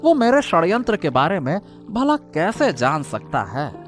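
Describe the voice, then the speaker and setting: एक आदमी का घबराया, चालबाज़ नाविक, उतार-चढ़ाव भरा. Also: male voice, high voice, wildly swooping pitch, measured pace, faint music behind, exaggerated voice. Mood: anxious scheming. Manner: flustered, repetitive, exclamatory. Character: scheming, defensive male boatman hiding a secret. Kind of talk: Hindi moral-story cartoon dubbing.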